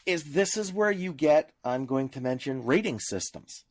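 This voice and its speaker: A man's voice: fairly high pitch, animated, moderate pace, no echo. A man co-hosting a podcast, giving opinions in casual discussion with emphatic, explanatory short bursts. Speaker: podcast co-host.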